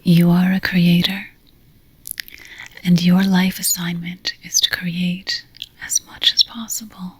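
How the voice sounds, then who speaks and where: A woman hosting ASMR, whispering a scripted ceremonial address of affirmations in a breathy, solemn, deliberate way. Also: on the low side, flat delivery. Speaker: ASMR roleplay host.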